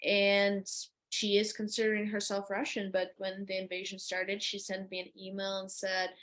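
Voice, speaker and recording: A young woman with a Ukrainian accent speaks in a mid-range voice, answering interview questions. She explains things earnestly and carefully, pausing often and filling gaps with small fillers. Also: mid-range voice, completely flat delivery, a bit bright. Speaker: interviewee, university student. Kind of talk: conversational interview.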